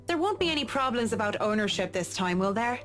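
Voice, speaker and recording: Polite, measured interior designer. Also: female voice, on the high side, sing-song, a bit bright. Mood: professional. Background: game music.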